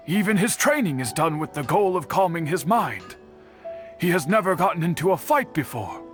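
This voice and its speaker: An earnest young man speaks in a fairly high, measured voice while worrying over a missing friend. He stays composed but concerned. Faint game music sits behind him. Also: big pitch swings. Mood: insistent. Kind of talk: game voice acting.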